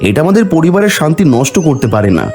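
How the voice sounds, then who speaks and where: A man's voice: fairly high pitch, very animated, fairly quick, over music. A man voicing an earnest, peace-loving young farmer in a cartoon story. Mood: resolute.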